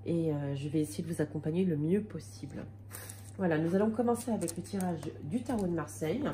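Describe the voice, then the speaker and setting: A woman's voice: fairly low pitch, a little fast, warm tone. A woman with a slight cold giving a casual tarot reading to her viewers, talking in a hesitant way with many fillers and restarts. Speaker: tarot card reader.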